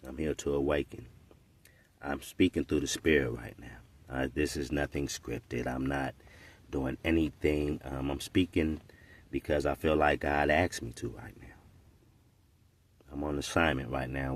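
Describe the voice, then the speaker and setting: A man's voice: a bass voice, no echo. A man in his forties giving an earnest, preachy, unscripted monologue, often checking in with "right?". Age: 40s. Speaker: podcast commentator.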